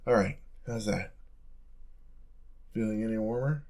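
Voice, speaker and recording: A man's voice: on the low side, unhurried, a little mellow. A young man playing a caring, teasing college roommate in an intimate, casual audio roleplay. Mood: gentle, caring.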